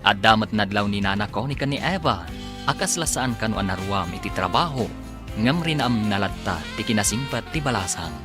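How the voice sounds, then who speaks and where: A man's voice: fairly low pitch, lively intonation, moderate pace, music in the background. A man with an Ilocano accent narrating a radio drama serial in a dramatic, scripted announcer style.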